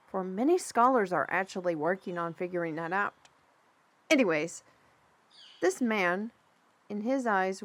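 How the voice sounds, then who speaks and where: A woman playing a chatty, easily flustered storyteller in a fantasy audio roleplay, with an expressive, hesitant delivery. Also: mid-range voice, natural voice. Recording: music behind the voice.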